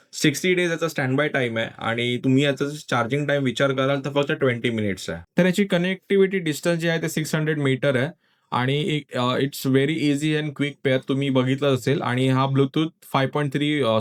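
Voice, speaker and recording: A man's voice: fairly high pitch, a bit bright. A man giving a casual product review, explaining step by step and talking straight to viewers. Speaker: motovlogger reviewing gear.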